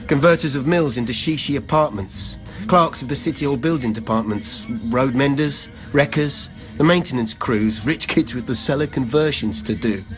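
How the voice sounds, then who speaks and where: A man's voice: medium pitch, moderate pace, hardly any pauses, lightly acted. An actor playing a weary, dogged labourer who sleeps in his van, in a rhythmic, confessional verse monologue for radio drama. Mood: weary, determined. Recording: music behind the voice.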